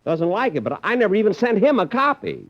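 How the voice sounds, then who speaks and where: A touchy, stingy comedian. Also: male voice, high-pitched, very animated, fast, heavily acted. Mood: indignant. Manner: indignant, exasperated delivery. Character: vain, stingy male comedian, touchy and indignant. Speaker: star comedian. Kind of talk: 1950s radio comedy acting. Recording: dull lo-fi old radio recording with faint hiss.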